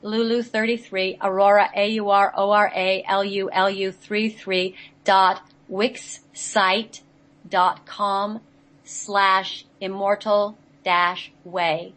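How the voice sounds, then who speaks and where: Woman, mid-range pitch, radio guest, conversational explaining, earnest, halting phrasing. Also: level tone, a bit bright, rough recording. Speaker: guest on a spiritual radio show.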